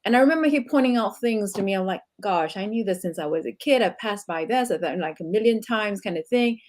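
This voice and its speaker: A woman's voice: mid-range voice, expressive, fairly quick, darkish tone. A woman from Singapore co-hosting a leadership talk, reflective and asking searching questions about her guest's reading. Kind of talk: conversational podcast interview.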